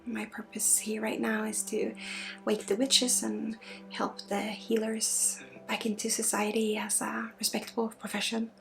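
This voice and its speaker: Creaky, whispery woman doing ASMR. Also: a little high, flat delivery, measured pace, soft background music. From Sweden. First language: Swedish. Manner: soft-spoken, drifting into breathy whispers. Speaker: energy healer and spiritual guide. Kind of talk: ASMR guided energy healing session.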